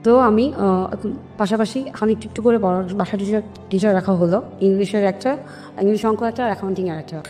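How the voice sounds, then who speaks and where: Fast-talking Bangladeshi woman in heavy reverb. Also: mid-range voice, mellow. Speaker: guest telling her life story. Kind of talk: personal storytelling in an interview. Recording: long reverb, music behind. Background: music.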